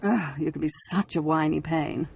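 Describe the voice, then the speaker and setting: A woman's voice: sits low, normal speed, gravelly, a little hiss or hum. A cruel, haughty noble lady. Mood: condescending. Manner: condescending, cold and mocking. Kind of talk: video game cutscene acting.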